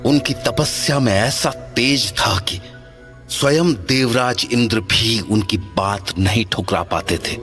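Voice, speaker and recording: A man's voice: on the low side, slow, fairly bright tone, faint music behind. A man playing a solemn documentary narrator, telling an ancient legend in a formal, dramatic style. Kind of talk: scripted mythological narration.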